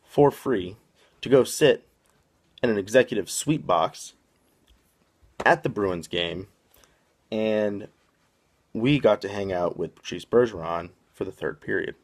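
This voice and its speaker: A man from the Boston area hosting a podcast, rambling along in casual chat with frequent "um" pauses. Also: on the low side. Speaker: podcast host.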